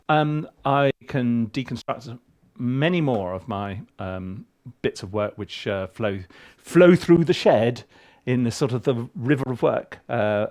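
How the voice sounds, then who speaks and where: A man giving a casual video tutorial as a composer and tutor, with a playful, rambling and animated way of talking. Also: a little high. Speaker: film composer and music tutor.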